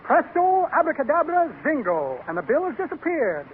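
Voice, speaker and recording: A man's voice: very high voice, huge pitch swings, moderate pace, full character voice. A man playing a pompous, shifty amateur magician with grandiloquent, evasive patter in 1930s radio comedy style. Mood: showy, boastful. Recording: tinny, noisy 1930s radio recording.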